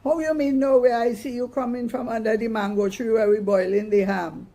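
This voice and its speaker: Woman as storybook Caribbean mother, exasperated, scolding, bossy, high pitch, rough husky edge. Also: steady tone, brisk, warm tone. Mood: suspicious. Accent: Caribbean, Dominica. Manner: scolding, emphatic dialect speech.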